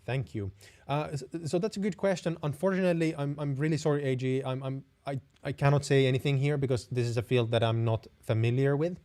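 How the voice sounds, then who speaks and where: Mellow-voiced Finnish man hosting a live Q&A. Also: mid-range voice, fairly even intonation, fairly quick. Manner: friendly, hesitant, rambling with many restarts. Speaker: host. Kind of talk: live stream Q&A.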